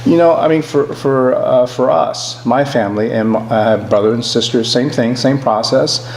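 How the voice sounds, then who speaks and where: An earnest man in his sixties gives his views as an interview guest. He talks in a deliberate, halting way at a middle pitch. The room is echoey, with a clear hum behind him. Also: darkish tone. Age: 60s. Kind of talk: conversational political interview.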